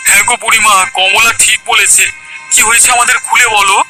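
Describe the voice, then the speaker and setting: Casting: male actor as gentle cartoon village husband, high voice, radio-like sound, loud music behind. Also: fairly even intonation, fast talker, lightly acted. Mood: concerned. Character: kindly, puzzled village husband. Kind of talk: Bengali animated story dialogue.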